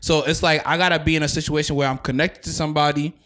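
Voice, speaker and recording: A man's voice: on the high side, steady tone, fast, fairly bright tone. A man hosting a podcast, talking in a casual, blunt and slangy way and often repeating himself. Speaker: podcast host. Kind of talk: casual chat.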